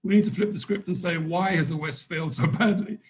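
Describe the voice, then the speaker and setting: A man speaking off the cuff as a conference panelist, halting and repeating words as he works out his thoughts. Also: sits high.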